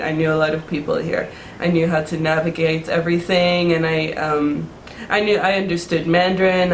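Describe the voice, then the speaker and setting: A woman's voice: lowish voice, a little hiss or hum. A young woman from Taiwan in a casual interview, talking loosely with lots of "like" and restarts. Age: young adult. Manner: rambling, many "like" fillers and restarts. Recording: echoey room.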